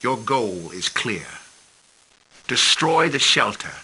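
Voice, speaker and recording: An actor playing a formal, grave intelligence chief who briefs a commander in a game cutscene, measured and dropping low at the ends of lines. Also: male voice, very animated, bright, forceful tone, breathy edge, heavily acted, rough recording. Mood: urgent. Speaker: mission commander on a video link.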